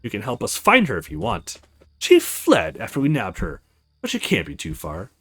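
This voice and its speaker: A man's voice: on the low side, wildly swooping pitch, normal speed, fairly bright tone, slightly rough, voice pushed down, full character voice. A man voicing a grim, scornful male witch hunter in a visual novel game. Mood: cold, commanding.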